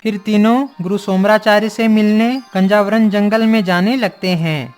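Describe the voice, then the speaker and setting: A man's voice: high-pitched, steady tone, normal speed, soft background music. A man narrating a moral story in scripted, storytelling fashion.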